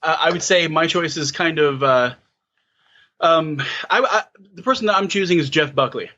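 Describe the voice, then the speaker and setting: A man's voice: a little high, normal speed, bright, forceful tone, dull audio. A man in his thirties chatting casually on a podcast, hesitant, with many fillers and restarts. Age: 30s. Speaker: podcast co-host. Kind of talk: casual chat.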